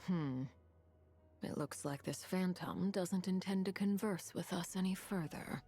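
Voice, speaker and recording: Low-pitched, composed traveller. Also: female voice, unhurried, warm tone, husky. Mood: curious, dry. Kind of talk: video game character acting. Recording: background music.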